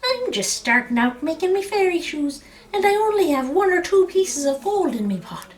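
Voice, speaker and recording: A tiny, crafty leprechaun shoemaker acted out by a woman reading a storybook. He speaks high and swoops up and down as he wheedles. His talk is folksy and full of bargaining. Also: big pitch swings, normal speed. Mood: pleading. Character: clever pleading little male leprechaun shoemaker.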